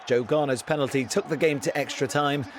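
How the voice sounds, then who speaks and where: A man reporting for the news with a measured storytelling delivery, recorded outside a stadium. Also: mid-range voice. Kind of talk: news report narration and vox pops. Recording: crowd noise, outdoor stadium.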